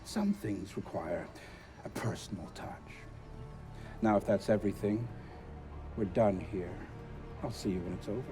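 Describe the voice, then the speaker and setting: A man's voice: lowish voice, measured pace, pauses often, music in the background, close to an everyday voice. A man playing a smug, defiant conspiracy villain in a video game, with a sardonic, condescending manner. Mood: guarded, haughty. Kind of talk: video game cutscene acting.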